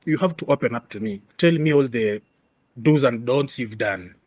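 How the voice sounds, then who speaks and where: A man's voice: mid-range voice, lively intonation, moderate pace, a little mellow, no room sound. Kenyan man, dry close sound, explaining assertively. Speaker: interview guest, security and bodyguard expert. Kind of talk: explanatory interview talk.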